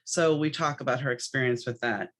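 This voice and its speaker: A woman's voice: rumbling low, a little fast, a bit bright. A woman podcast host talking in a conversational, chatty, anecdotal way.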